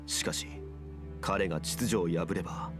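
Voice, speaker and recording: An actor playing a calm, authoritative ancient god in a Japanese video game. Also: male voice, low voice, fast talker, lightly acted. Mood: solemn. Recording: loud music underneath.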